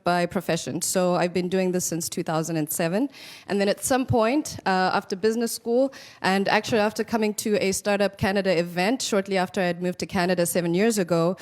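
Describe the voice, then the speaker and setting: A woman from Zimbabwe on a conference panel, explaining her business in a measured way. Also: medium pitch. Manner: measured, explanatory, some fillers. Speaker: panelist, company founder. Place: conference stage.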